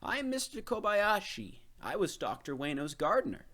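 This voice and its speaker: Man voicing a gentle old gardener, pitched up. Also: sing-song, exaggerated voice. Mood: friendly. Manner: slow, thoughtful delivery. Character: stooped old gray-haired Japanese gardener, gentle and thoughtful.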